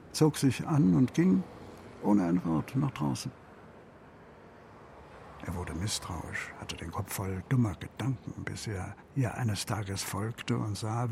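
Melancholy storyteller for a literary radio play: mid-pitched, gravelly, reflective, measured phrasing, faint ambient noise behind. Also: male voice, a little mellow. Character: melancholy male storyteller recounting a troubled couple's story.

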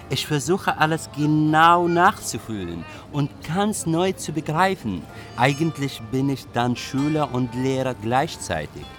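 A man playing a reflective train traveller and writer, narrating in a measured, literary radio drama manner. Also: medium pitch, a bit bright.

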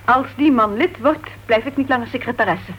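A woman's voice: on the high side, a little fast, close to an everyday voice. An actress playing a prim, disapproving woman writer and club secretary, stern and moralising, in a Dutch radio play. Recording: dull, noisy old radio recording.

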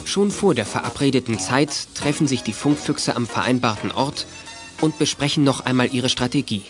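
A man's voice: mid-range voice, moderate pace, over music. A man narrating a scripted children's audio drama.

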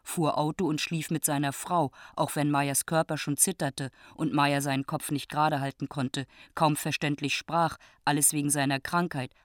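A woman's voice: sits low, little pitch movement, normal speed, hardly any pauses, no echo, studio quality. A woman narrating a scripted literary radio drama in terse, rhythmic, repetitive phrases.

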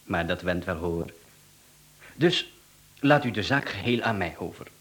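A low-voiced, courteous Flemish villain. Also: male voice, animated, measured pace, fairly bright tone. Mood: businesslike, reassuring. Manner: polite, businesslike, mocking politeness. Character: smooth, courteous male contract killer and swindler, unflappable. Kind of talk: radio play acting.

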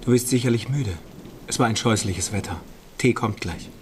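An actor playing a calm, enigmatic young mentor in a radio drama, composed and probing. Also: male voice, lowish voice, moderate pace, a little hiss or hum, close to an everyday voice. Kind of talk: German literary radio drama acting. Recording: distant scene with rain and thunder.

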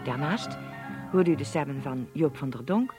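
A woman's voice: deep voice, lively intonation, moderate pace. A woman reading the scripted closing credits of a radio play as its announcer. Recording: loud music under the voice. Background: music.

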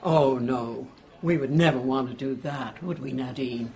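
An actress playing a malignant, domineering old American matriarch in a radio drama, slow and controlling, with a low, creaky voice. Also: female voice, heavily acted. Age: older. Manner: slow, controlling, flatly insistent.

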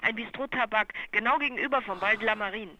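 A brisk secretary with a piercingly bright voice, passing on messages over the telephone in clipped, businesslike radio-play style. A faint noise sits behind her. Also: fairly high pitch, poor audio. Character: brisk, efficient female secretary to a detective. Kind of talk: German radio crime drama.

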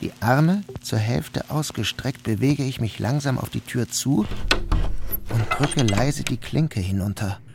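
A man narrating a literary first-person story as an audiobook reader, in a calm, even manner. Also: mid-range voice, unhurried, vocal fry, noisy background.

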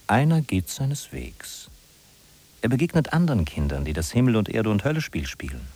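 Bleak ironic narrator, lowish pitch, highly animated, declamatory, vintage radio play. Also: male voice, moderate pace. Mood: sardonic. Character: sardonic male narrator of a bleak big-city inventory. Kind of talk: 1950s German radio drama narration. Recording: husky-sounding 1950s radio recording from cassette.

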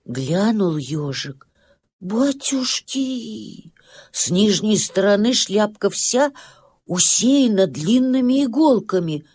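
Husky, lively woman storyteller. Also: mid-range voice, slow pace. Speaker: narrator. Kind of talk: expressive children's storybook narration.

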